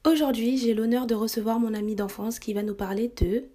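A woman's voice: a little high, steady tone, a little fast. A young woman hosting a podcast interview, asking long, earnest questions with frequent fillers. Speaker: podcast host.

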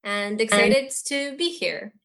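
A woman's voice: on the high side, slow pace, fairly bright tone. A woman from Romania, talking reflectively and hesitantly as a guest on a podcast. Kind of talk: reflective personal talk.

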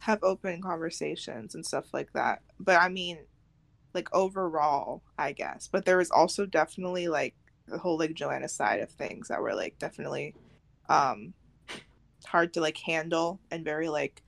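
Young woman, podcast co-host. Lowish, creaky voice, informal and conversational. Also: moderate pace. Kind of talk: casual chat.

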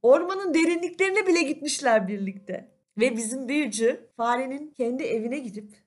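High-voiced woman telling a fairy tale. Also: moderate pace. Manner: animated, conversational, addresses listeners. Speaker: storyteller. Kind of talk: expressive children's fairy tale narration. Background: faint music.

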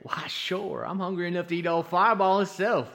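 A man's voice: sits high, a little fast, vocal fry, a bit bright. A man playing an easygoing, good-humored cowboy detective in an old-time radio Western.

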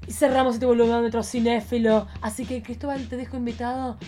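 Female host, slightly high-pitched, playful camp delivery for casual podcast banter. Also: flat delivery, fast talker, warm tone, faint music behind. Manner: campy, playful, theatrical.

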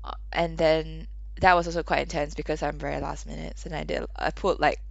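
A woman's voice: deep voice, level tone, a little fast, gravelly, no echo. A young woman from Malaysia co-hosting a podcast, chatting casually in rambling bursts that trail off. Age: young adult. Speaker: podcast co-host. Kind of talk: casual chat. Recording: dry, dull lo-fi sound.

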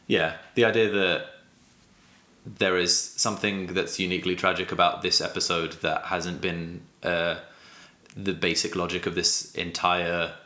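A man co-hosting a casual political podcast, speaking haltingly and searching for words in an echoey room. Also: low-pitched, level tone, sharp tone. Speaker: podcast co-host. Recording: roomy, echoey sound.

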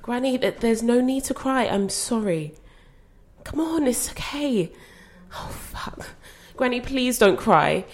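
A woman's voice: a little high, expressive, measured pace, few pauses. An actress playing a young woman who looks after her grandmother, strained but trying to stay soothing. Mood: apologetic. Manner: strained and exasperated, then apologetic and soothing. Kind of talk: screen drama acting.

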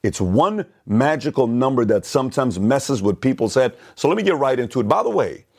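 A man's voice: medium pitch, fairly quick, creaky, slightly rough. A man hosting a motivational video, telling stories to the camera and acting out worried voices. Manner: animated storytelling, acts out worried voices.

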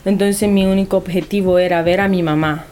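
A woman's voice: fairly low pitch, steady tone, brisk, darkish tone. A young woman, speaking earnestly as an interview guest and often restarting her sentences. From Honduras. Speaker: anonymous guest. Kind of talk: personal interview.

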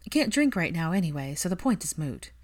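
A woman's voice: lowish voice, big pitch swings, a little fast, a little mellow. Woman reading as a precise, thoughtful young woman. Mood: curious, frank. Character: thoughtful, articulate young woman, gently teasing.

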